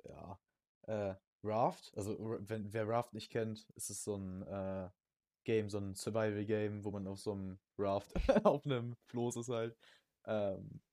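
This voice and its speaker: A young man co-hosting a podcast in casual chat, using youth slang and often halting to search for words. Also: fairly low pitch, steady tone, darkish tone, no room sound. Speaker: podcast co-host.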